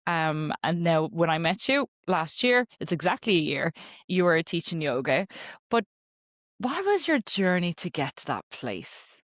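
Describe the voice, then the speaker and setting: A woman hosting a healing podcast, soft and affirming, speaking gently to her guest and listeners. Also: on the low side, sing-song, unhurried, dry recording, dull audio. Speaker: podcast host and energy practitioner. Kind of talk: gentle scripted intro, then casual chat.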